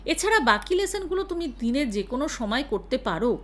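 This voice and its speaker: A woman, a singing teacher calmly explaining practice routines to her students. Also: sits high, a little fast, a little hiss or hum. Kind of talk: casual spoken lesson.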